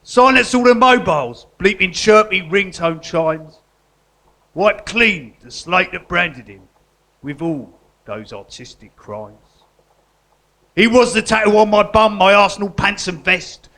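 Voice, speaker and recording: An actor playing a matey, sentimental guerrilla artist convict in a live comedy radio drama. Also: male voice, high-pitched, bright, forceful tone, heavily acted. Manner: matey, colloquial, earnest.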